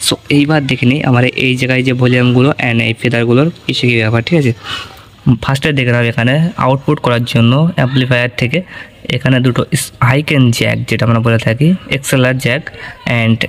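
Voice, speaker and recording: A man's voice: medium pitch, fairly even intonation, fast talker. A man giving an informal gear walkthrough, explaining step by step and often checking that the listener follows. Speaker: audio gear reviewer.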